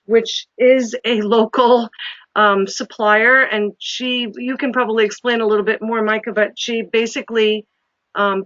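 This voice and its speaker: A woman's voice: mid-range voice, fairly bright tone, dry recording. Chatty woman with a muffled dry sound. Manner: chatty, rambling with restarts. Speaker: travel agent and group organizer. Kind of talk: informal presentation to a group.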